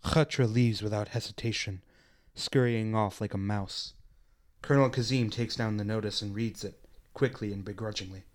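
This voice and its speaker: A man serves as narrator for a radio play. His voice is on the low side. He reads scripted scene descriptions between the acted parts. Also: steady tone, moderate pace.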